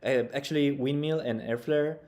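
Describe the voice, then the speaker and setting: A young man talks over a video he is watching, relaxed and unhurried, thinking aloud with loose grammar. His voice is mellow and dark, picked up by a desk webcam in a small room. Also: mid-range voice. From Italy. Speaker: breaking coach reacting to tutorials. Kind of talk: casual reaction commentary.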